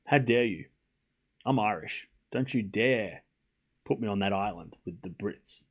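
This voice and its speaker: A man's voice: on the low side, unhurried. Man with a mild Australian accent, livestream commentary. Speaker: livestream watchalong host and commentator. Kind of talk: live tennis commentary mixed with casual chat reading viewer comments.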